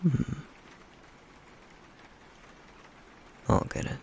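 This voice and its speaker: A man voicing a stoic, guarded flame demon in an intimate ASMR roleplay, with a breathy, gravelly murmur and a terse, deadpan, caring manner. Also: normal speed, slight background noise, close to an everyday voice. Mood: guarded, gentle.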